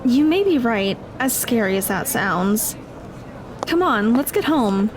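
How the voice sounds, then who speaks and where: A woman playing an earnest, literal-minded high school girl in an audio drama. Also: sing-song, measured pace, noisy background. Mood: wry.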